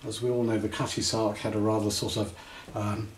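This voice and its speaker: A British man hosting a casual chat show, putting questions in a halting way with pauses mid-sentence. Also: lowish voice, flat delivery. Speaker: chat show host, interviewer. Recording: echoey room, distant mic, faint background noise.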